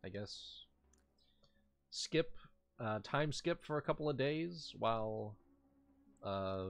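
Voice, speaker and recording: A man's voice: mid-range voice, expressive, gravelly, no room sound. A man running a tabletop game as game master, speaking casually and hesitantly as he narrates. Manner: hesitant, halting delivery.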